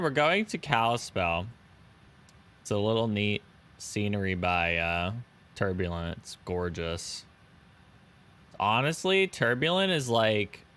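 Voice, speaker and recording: Slow, smooth low-voiced male streamer. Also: pushed and bright. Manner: chatty, answers viewers' chat and thanks subscribers. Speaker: live streamer. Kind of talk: casual live-stream chat while flying a simulator.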